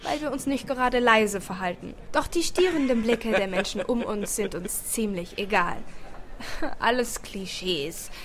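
A woman reading a first-person story aloud as an expressive audiobook narrator. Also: on the high side, moderate pace, hardly any pauses, slight background noise. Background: background music.

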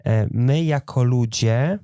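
A man talks casually as a podcast co-host. His voice sits at a medium pitch, and the recording is dry with hardly any room sound. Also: slow, dark tone, clear, resonant tone, dry recording. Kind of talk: casual chat.

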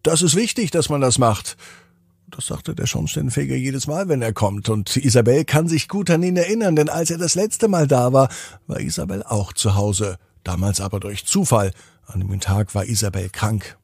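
A man's voice: medium pitch, a little mellow, breathy edge, dry recording, clean studio sound. A man hosting a children's podcast, narrating bedtime stories in simple, repeated phrases and speaking directly to young listeners. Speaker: podcast host and bedtime storyteller.

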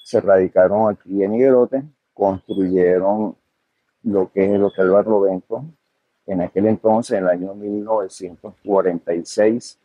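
Older man, low husky voice. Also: fairly even intonation, unhurried, dry recording, narrow, tinny audio. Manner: hesitant, full of fillers and restarts. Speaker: hotel owner and manager. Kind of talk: conversational interview answers.

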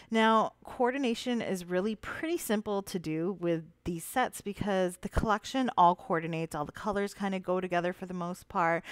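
Woman, young adult, chatty voice-over host, low-pitched, dry close mic. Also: no echo. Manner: relaxed, chatty explaining with fillers. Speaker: cardmaking tutorial host. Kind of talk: voice-over craft tutorial narration.

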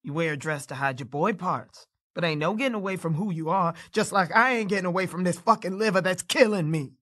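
A woman playing a bitter, blunt, hard-drinking dying woman in an audio play, with gruff, curt, folksy delivery. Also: fairly low pitch, brisk, a bit bright. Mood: gruff, dismissive. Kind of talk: naturalistic audio play acting.

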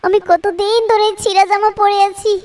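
A woman's voice: very high-pitched, little pitch movement, normal speed, a little mellow. A woman playing a poor, cheerful orphan girl in a children's moral cartoon, speaking plaintively and earnestly. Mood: overjoyed, thankful.

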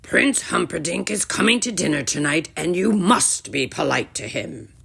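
A woman voicing a stern, exasperated king and father in a storybook, raspy, scolding and commanding. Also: low voice, expressive, moderate pace, fairly bright tone, full character voice.

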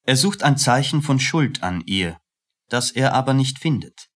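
A man's voice: mid-range voice, animated, a little slow, a bit bright. A man reading scripted narration as the narrator of a radio play.

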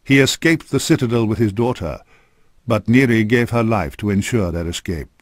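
Male narrator for fantasy game cutscenes; lowish register, grave formal storytelling, dry studio sound. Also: moderate pace, no echo. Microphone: close studio microphone.

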